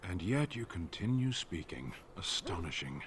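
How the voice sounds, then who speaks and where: A stern male warrior of a fantasy game, with a very deep, rough-edged voice. He is formal and deadpan, dispensing cold disdain without warmth. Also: normal speed, heavily acted.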